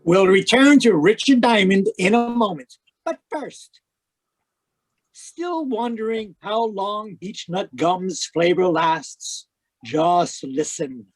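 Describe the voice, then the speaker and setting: A man's voice: high-pitched, slow pace, dry recording, low-quality recording. A man playing an upbeat, salesmanlike announcer reading a sponsor spot in an old-time radio show. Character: folksy, upbeat male radio announcer selling gum. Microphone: video call.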